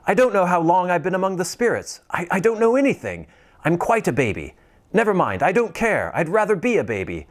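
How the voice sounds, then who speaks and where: A man reading aloud, voicing a reformed old miser who is giddy and overjoyed, his voice pitched high and excited. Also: a little fast.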